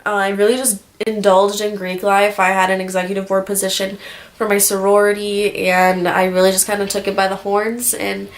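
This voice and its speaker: A twenty-year-old woman chatting casually in a personal vlog, rambling through stories in a candid, slangy way with plenty of swearing. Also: mid-range voice. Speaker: vlogger.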